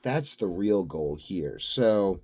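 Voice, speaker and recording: A man's voice: low voice, animated, slow pace, warm tone. A tired, sleepy man rambling through an unscripted video diary in a dry, tongue-in-cheek, grandiose way. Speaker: vlogger, channel host.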